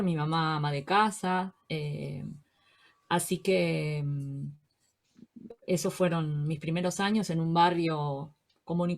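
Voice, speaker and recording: Argentine woman, low reflective voice. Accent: Rioplatense Spanish. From Buenos Aires, Argentina. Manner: warm, reflective, with frequent fillers and repetitions. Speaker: interviewee, social worker. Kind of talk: relaxed interview conversation.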